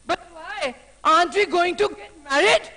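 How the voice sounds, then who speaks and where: A man's voice: very high-pitched, normal speed, sharp tone. A man playing a cheeky young suitor who pleads and serenades in a sing-song, wheedling way. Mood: pleading. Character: cheeky young male suitor, playful, hot-tempered.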